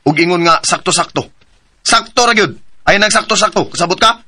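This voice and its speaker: A forceful, high-set male voice as a protective big brother. He is insistent and admonishing, delivered as radio drama. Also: a little fast, heavily acted. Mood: stern, scolding. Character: protective, scolding older brother, firm.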